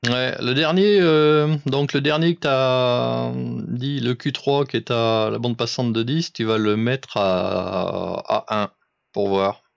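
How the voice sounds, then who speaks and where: A man's voice: medium pitch, fairly even intonation, takes it slowly, vocal fry, smooth, no room sound. A man giving casual, exacting step-by-step instructions as an amateur radio operator coaching someone. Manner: didactic, exacting, informal.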